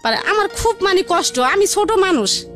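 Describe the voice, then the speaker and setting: A woman's voice: extremely high pitch, very animated, moderate pace, fairly bright tone, faint music behind. A woman telling her life story in a rambling, repetitive, conversational way. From Barisal region, Bangladesh. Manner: rambling, repetitive, colloquial narration.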